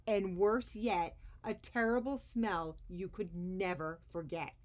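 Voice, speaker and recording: A woman's voice: mid-range voice, fairly even intonation, takes it slowly. A woman hosting a story time for young children, speaking expressively as she shares a picture book.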